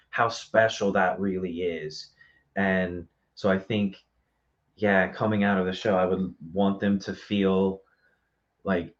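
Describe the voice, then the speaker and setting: Low-voiced man chatting, slightly muffled recording. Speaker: magician and theater guest. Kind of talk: casual interview chat. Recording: dull, lo-fi live stream audio.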